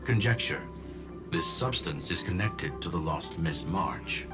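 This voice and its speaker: A man voicing a courteous robot gentleman scholar in a video game, speaking formally and precisely. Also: low voice, animated, a little slow. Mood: calm, precise. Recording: loud music under the voice.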